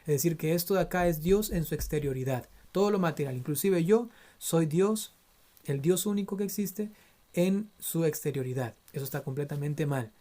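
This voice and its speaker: A man's voice: a little high, normal speed, warm tone. A man from Ecuador, a priest with an Ecuadorian Spanish accent, giving an earnest theology lecture and often asking listeners to take care. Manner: earnest, admonishing, frequent tag questions.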